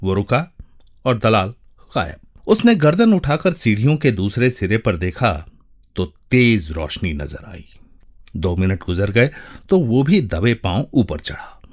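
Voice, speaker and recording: Male narrator, dramatic literary storytelling, lowish voice, very slow pace, measured phrasing. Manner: measured, expressive, pausing between phrases.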